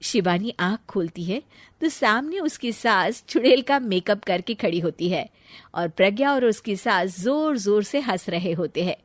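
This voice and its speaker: A woman's voice: mid-range voice, expressive, measured pace, no echo. A woman narrating a scripted family story as the storyteller.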